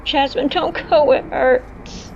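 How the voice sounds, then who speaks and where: A woman acts out a wounded, desperate captive in a horror audio drama. Her voice is very high and anguished. The sound is dull and clearly noisy. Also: fairly even intonation, takes it slowly. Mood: pained, pleading.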